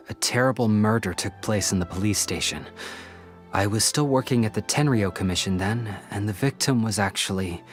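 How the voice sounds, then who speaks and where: A man playing a patient, friendly former police officer in a video game, gentle and courteous. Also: on the low side, lightly acted. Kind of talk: video game character acting. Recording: faint music under the voice.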